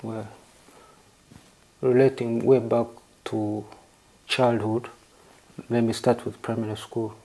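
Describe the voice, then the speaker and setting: A man from southern Uganda with a Ugandan accent, answering interview questions in halting, formally worded phrases. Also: medium pitch, level tone, warm tone, rough recording. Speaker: interview guest.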